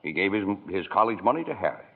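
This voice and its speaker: An actor playing a calm heavenly spirit who narrates in a 1940s radio drama, with a husky voice, over a tinny old broadcast. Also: male voice, fairly low pitch, fast, exaggerated voice. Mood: telling.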